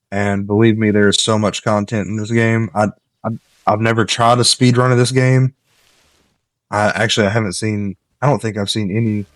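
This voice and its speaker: A man talks through his gameplay in an easygoing, wandering way and drops tips as he goes. His lowish voice sounds slightly nasal because he is coming down with a cold. The close desk mic keeps the sound dry, with no room echo. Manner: relaxed, rambling, gives tips while playing. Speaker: gameplay commentator. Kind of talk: casual let's-play commentary.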